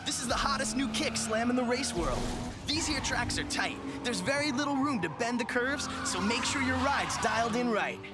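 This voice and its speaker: An actor playing a hyped young street-racing mechanic and coach in a video game, giving emphatic tips in slang. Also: male voice, extremely high pitch, animated, normal speed. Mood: pumped-up, instructive. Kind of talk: scripted video game tutorial. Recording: loud music behind the voice. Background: loud music.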